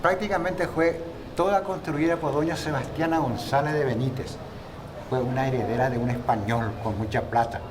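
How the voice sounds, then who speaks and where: Older man from Paraguay, halting storyteller, mid-pitched, with clear outdoor noise behind. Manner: rambling, halting, reminiscing. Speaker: local guide and interviewee. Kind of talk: casual storytelling interview.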